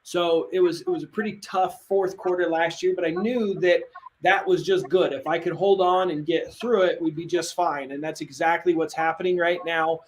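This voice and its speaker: Upbeat guy on a live training, kinda high voice, talks fast and salesy, faint hiss behind. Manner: energetic, salesy, casual slang. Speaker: real estate coach.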